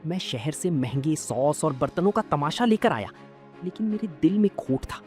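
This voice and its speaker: Woman as an emotional, animated village shopkeeper man. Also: sits low, moderate pace, voice pushed down. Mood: remorseful. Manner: emotional, intense. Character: proud, jealous village shopkeeper man, bitter then remorseful. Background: background music.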